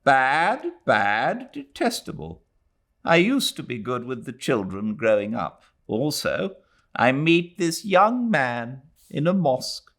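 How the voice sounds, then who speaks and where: A man's voice: medium pitch, big pitch swings, takes it slowly, a bit bright, voice pushed up, full character voice. A man voicing an irritable, weary old Englishwoman from a novel, disdainful and brooding, with a rambling, emphatic delivery. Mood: bitter, brooding. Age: older.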